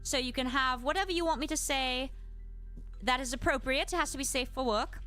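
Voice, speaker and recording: A woman's voice: sits high, brisk, sharp tone, soft background music. A woman streamer speaking in a British accent, teaching a livestreamed lesson in a polite, explanatory, gracious manner. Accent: British, put on. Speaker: live streamer and host giving a lesson. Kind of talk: livestreamed educational lesson with chat replies.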